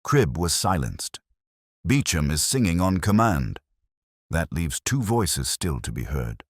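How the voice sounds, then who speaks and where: A man voicing a shrewd, sceptical police inspector in a mystery story, measured and probing. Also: deep voice, sing-song, slightly rough, dry recording, clean studio sound, exaggerated voice. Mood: brooding deduction.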